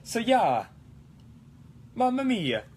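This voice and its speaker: A man doing a high, excitable cartoon Italian guy. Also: drawn-out words, slight background noise, full character voice. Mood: playful, explaining. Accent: mock Italian. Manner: exclamatory, playful, sing-song broken English. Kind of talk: live-streamed prop-making vlog in a put-on accent.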